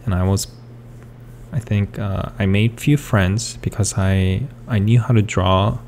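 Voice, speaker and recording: Low slow gravelly man, hesitant. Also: fairly even intonation, darkish tone, a little hiss or hum. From South Korea. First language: Korean. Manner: hesitant, full of fillers and restarts. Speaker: artist. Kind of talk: rambling speed-paint commentary.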